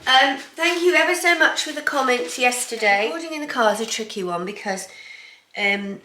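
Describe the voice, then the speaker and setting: A woman's voice: sits high, lively intonation, normal speed, bright, forceful tone. Chatty woman with a cold. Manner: chatty, rambling, lots of ums. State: bunged up with a cold. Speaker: sewing vlogger. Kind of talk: casual chatty vlog. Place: home kitchen. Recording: echoey kitchen with faint background noise.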